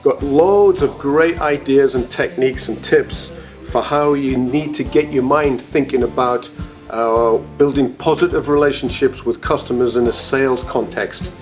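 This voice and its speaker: A man's voice: mid-range voice, unhurried, soft background music. A man, a company president, talking casually and enthusiastically to his colleagues in a video message. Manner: chatty, enthusiastic, frequent "uh" fillers.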